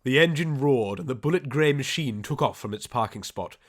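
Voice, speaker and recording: Deadpan male audiobook narrator, mid pitch. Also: moderate pace. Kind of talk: scripted comic story narration.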